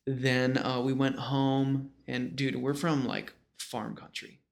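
A man in his thirties with an American accent talks in a relaxed, rambling storyteller's style. His mid-pitched voice is full of vocal fry. The phrasing is informal and slangy, like a guest swapping memories. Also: takes it slowly. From small-town western New York, United States. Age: 30s. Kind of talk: casual conversational storytelling.

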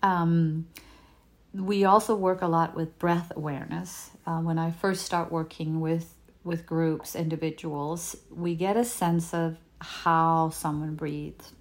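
A woman whose first language is Danish, giving a gentle, unhurried talk as a healing teacher, often repeating words as she searches for them. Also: low-pitched, little pitch movement. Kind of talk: reflective spoken talk.

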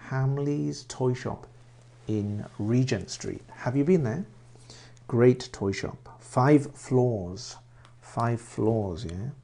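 A man in his sixties with a mixed English and Welsh accent, teaching English to learners in slow, simple sentences and often asking them questions. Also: mid-range voice, dark tone. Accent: mix of English and Welsh. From England. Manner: repeats words and asks the listener questions.

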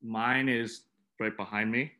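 A man born in Japan speaks on a panel discussion in an earnest, encouraging tone. His voice sits at an ordinary middle pitch, and his talk is loose, with plenty of "like" and restarts. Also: fairly even intonation, slow pace, pushed and bright.

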